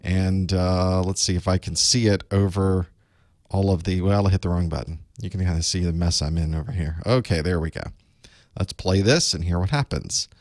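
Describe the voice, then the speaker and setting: Raspy man chatting on a live stream. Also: deep voice, steady tone, moderate pace, darkish tone, dry recording, clean studio sound. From United States. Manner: chatty, rambling, self-deprecating asides. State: chest cold, a bit raspy. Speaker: online course instructor hosting live study group. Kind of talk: casual live-stream chat.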